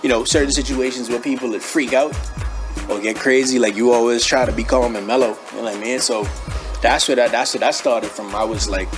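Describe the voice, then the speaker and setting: A young man from the Bahamas speaks with a Bahamian accent in a casual interview. His talk is laid-back and slangy, peppered with bro, at a middling pitch. Music plays behind his voice. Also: fairly even intonation, brisk. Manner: laid-back, slangy, frequently says bro and you know what I mean. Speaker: interview guest, former athlete and brand owner. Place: studio.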